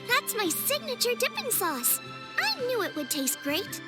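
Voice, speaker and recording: A cheerful teenage cook, girlish and extremely high. Her eager, bubbly speech is highly animated in anime game style, with clear music behind. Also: slow, heavily acted. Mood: delighted. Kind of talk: anime video game voice acting.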